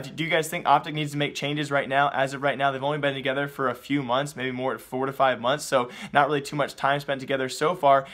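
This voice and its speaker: A man in his twenties hosting esports news in a chatty, excitable, emphatic YouTube delivery. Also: medium pitch. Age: 20s. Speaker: host of an esports news show.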